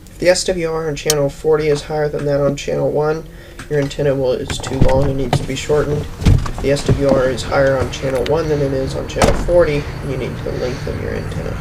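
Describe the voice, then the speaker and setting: A man's voice: a little high, level tone, brisk. A man giving a hands-on tutorial, explaining things step by step in a plain, methodical way. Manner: plain, methodical instructional delivery. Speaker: presenter of a how-to video. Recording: clear background noise, vehicle ambience.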